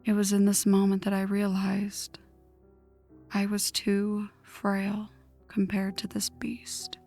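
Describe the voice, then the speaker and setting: A woman voicing a vengeful, newly turned vampire in a hushed, breathy ASMR story narration, slow and dramatic. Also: mid-range voice, completely flat delivery. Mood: tense, vengeful. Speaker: audio roleplay narrator. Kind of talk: ASMR scripted first-person story narration. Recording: music under the voice. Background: background music.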